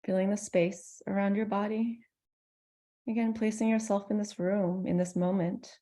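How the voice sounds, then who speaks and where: A woman teaching meditation, guiding listeners in a gentle, soothing manner with pauses between phrases. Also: medium pitch, level tone. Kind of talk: guided meditation.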